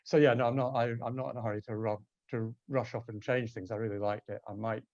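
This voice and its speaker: A man's voice: mid-range voice, steady tone, quick pace, a little mellow, no echo. Hesitant man in dull, dry audio. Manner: hesitant, self-correcting, tentative. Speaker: theatre translator. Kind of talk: casual post-show panel discussion.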